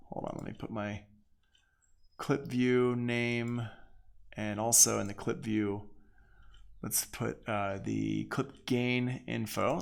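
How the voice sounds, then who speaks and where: A man with a mid-pitched voice walks through a music software lesson as an instructor. He is easygoing and informal, talking his way through each step as he goes. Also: gravelly. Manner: easygoing, informal, thinking aloud. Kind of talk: casual screen-share software tutorial.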